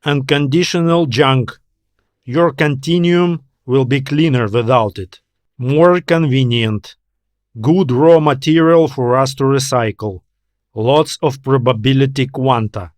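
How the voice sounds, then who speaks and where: A reptilian alien official from a sci-fi audiobook, voiced by a man. It is polite, cool and matter-of-fact, giving out clipped phrases slowly in a very dry recording. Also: medium pitch, no room sound, close to an everyday voice. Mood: clinical. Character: reptilian alien, coolly bureaucratic, terse and polite. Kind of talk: audiobook character dialogue.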